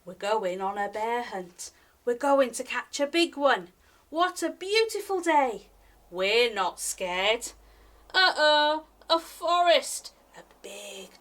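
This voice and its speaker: A woman with a high voice reads a picture book to young children. Her delivery is slow and singsong, with big swoops in pitch. She talks straight to the little listeners in an expressive storytime style. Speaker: library storytime reader. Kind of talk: animated children's picture book read-aloud.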